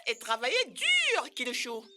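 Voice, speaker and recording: Encouraging mama hen for a kids cartoon, really high voice, all over the place, emphatic. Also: female voice, huge pitch swings, a little slow, pushed and bright, full character voice. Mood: instructive, urging. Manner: rousing, emphatic. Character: motherly, encouraging hen, proud of her chicks. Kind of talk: French-language children's cartoon dub.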